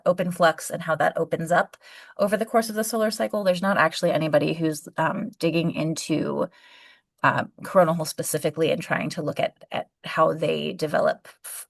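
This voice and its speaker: A woman's voice: sits low, gravelly, no echo, studio quality. A woman solar physicist answering a question in a webinar over a video call, thoughtful, with many ums and restarts.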